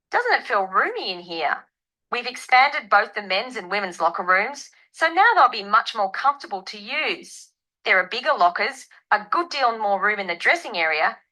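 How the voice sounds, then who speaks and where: Woman acting a high, bright club tour guide. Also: poor audio. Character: welcoming proud female health club tour guide. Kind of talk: scripted listening-test monologue.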